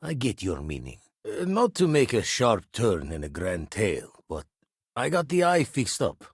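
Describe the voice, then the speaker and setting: Husky, low-voiced seasoned space explorer. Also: male voice, wildly swooping pitch, normal speed, no room sound, clean studio sound, heavily acted. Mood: wry, reflective. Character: seasoned, gruff male space explorer, ex-pirate mentor. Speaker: video game voice actor. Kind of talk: scripted game character dialogue.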